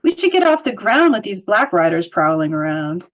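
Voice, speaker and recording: A woman's voice: mid-range voice, big pitch swings, moderate pace, lo-fi sound, natural voice. A woman playing a thoughtful, cautious young hobbit in a script table read, earnest and questioning.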